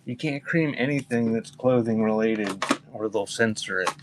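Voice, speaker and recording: Male live auction seller, low voice, wry and rambling, casual delivery, noisy background. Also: moderate pace. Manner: wry, rambling, joking with chat. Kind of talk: casual chat while reading out album titles for a live sale. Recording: clear background noise.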